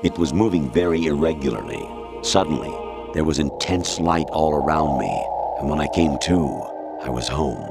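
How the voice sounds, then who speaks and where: Very deep-voiced military colonel. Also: male voice, normal speed, gravelly. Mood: eerie, erratic. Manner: commanding, clipped, formal, sliding into rambling non sequiturs. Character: stern older male military colonel turned eerily erratic. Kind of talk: dramatic video game voice acting. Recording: music behind the voice. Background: loud music.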